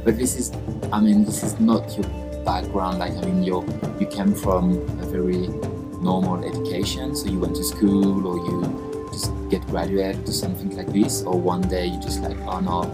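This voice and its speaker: Man, interview host, eager, upbeat, low voice, music behind. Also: fairly even intonation, moderate pace. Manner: eager, upbeat, many affirming murmurs. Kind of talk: casual interview.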